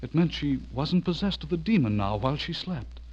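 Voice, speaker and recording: A man's voice: fairly high pitch, lively intonation, fairly quick. An actor playing a frightened, unravelling man who narrates his own horror tale in melodramatic 1940s radio style. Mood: fearful, dawning horror. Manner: melodramatic, haunted, swinging from hushed to frantic. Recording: dull old radio recording under loud music.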